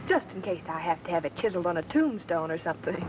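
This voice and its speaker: A woman's voice: lowish voice, expressive, quick pace, lightly acted. A woman acting a plucky, wisecracking young career woman, wry and teasing, in a 1930s screwball film. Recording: dull, noisy vintage film soundtrack.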